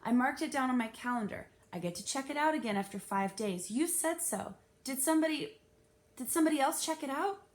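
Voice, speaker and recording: A woman's voice: high-pitched, animated, fairly quick, voice pushed up. Woman voicing an earnest, insistent nine-year-old bookworm girl. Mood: anxious, insistent. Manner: emphatic, insistent.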